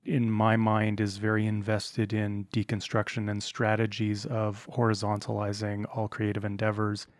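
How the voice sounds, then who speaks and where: A man co-hosting a podcast in casual chat, blunt and quick to swear. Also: fairly low pitch, completely flat delivery, no echo, clean studio sound. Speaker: podcast co-host.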